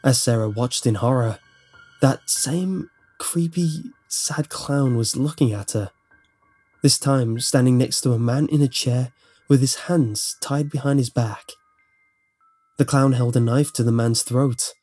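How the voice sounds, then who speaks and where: Guy narrating creepypasta, mellow dark voice, soft music behind him. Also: medium pitch, unhurried. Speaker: horror story narrator. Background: faint music.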